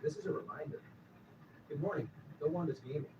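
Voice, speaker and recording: A man making a routine opening reminder over an arcade public address speaker, in a flat manner. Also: fairly high pitch, brisk, breathy edge, highs cut off. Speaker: store announcer. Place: gaming arcade. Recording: distant PA speaker with loud noise.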